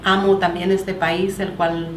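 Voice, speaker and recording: Low flat-voiced Salvadoran woman. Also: a little slow, recorded from a distance. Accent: Salvadoran Spanish. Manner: earnest, quotes scripture. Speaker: guest. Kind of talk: personal testimony. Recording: echoey room with background noise.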